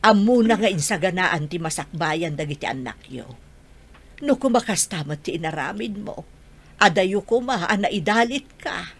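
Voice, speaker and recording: A woman's voice: lowish voice, lively intonation, moderate pace, husky. A woman with an Ilocano accent playing a reproachful, practical older mother and grandmother in an audio drama.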